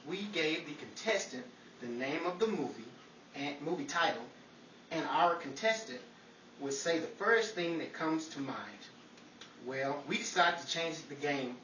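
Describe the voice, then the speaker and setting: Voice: medium pitch, fairly bright tone. A host with a folksy, patient announcer's manner, presenting in old-time radio game-show style. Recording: roomy, distant, faint noise.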